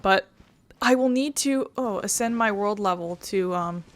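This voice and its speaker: A woman's voice: medium pitch, unhurried, dry recording. A woman giving casual, rambling let's play commentary, thinking aloud as she goes. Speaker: gaming channel host.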